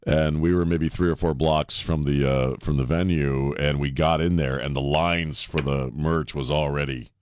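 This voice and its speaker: A man hosting a radio talk show, chatting casually in an animated, rambling, jokey way. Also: a bass voice, moderate pace, hardly any pauses, no room sound. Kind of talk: casual live radio chat. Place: radio studio. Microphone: close studio microphone.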